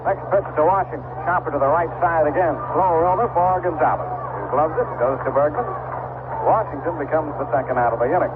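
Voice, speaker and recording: Guy calling baseball on old radio, thin noisy sound, kinda high voice, measured with little asides. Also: narrow, tinny audio. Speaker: baseball play-by-play announcer. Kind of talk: live radio sports commentary. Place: outdoor ballpark broadcast. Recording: noisy vintage 1970s AM radio broadcast.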